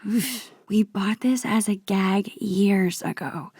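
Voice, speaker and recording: Game role: thoughtful American teenage girl. Soft, husky-breathy inner monologue with a creaky edge, musing and shy. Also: medium pitch, slow, dry recording, clean studio sound. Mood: musing, wistful. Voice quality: breathy, hushed. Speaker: video game voice actress.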